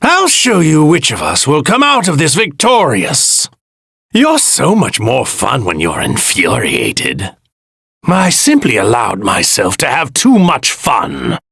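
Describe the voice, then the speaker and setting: A man voicing an arrogant, evil god in a stolen warrior body for an anime game, pompous, theatrical and menacing, with a husky, gravelly voice. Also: medium pitch, wildly swooping pitch, slow pace, a bit bright, dry recording, studio quality, heavily acted. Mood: smug rapture, then gloating. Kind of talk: anime video game voice lines.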